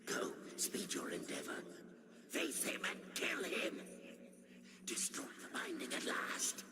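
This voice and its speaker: An actor playing a deranged, hungry, wrathful undead vampire god for a video game, grandiose and erratic, with a gravelly, guttural voice. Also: male voice, extremely high pitch, huge pitch swings, takes it slowly, exaggerated voice. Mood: raging, bloodthirsty. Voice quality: gravelly, guttural, breaking into whispers and shrieks. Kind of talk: dramatic video game acting. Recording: long cavernous reverb.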